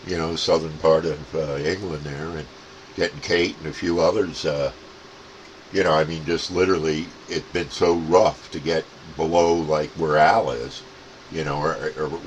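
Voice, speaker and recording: A man chatting casually as a CB radio hobbyist, rambling and halting, with plenty of radio jargon. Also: very deep voice, steady tone, a little hiss or hum, low-quality recording.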